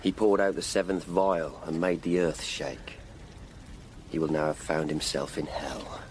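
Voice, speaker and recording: A devout, grave coroner of Tudor England in a radio play. His voice is very low and he speaks with stern religious conviction, over faint background noise. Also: fairly even intonation, unhurried, darkish tone. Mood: grim, pious. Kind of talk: period radio drama acting.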